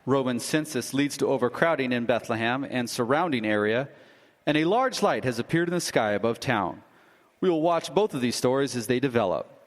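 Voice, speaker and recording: A man's voice: mid-range voice, animated, fairly quick, in a large hall, lightly acted. A man playing a formal radio announcer. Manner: formal newscaster delivery.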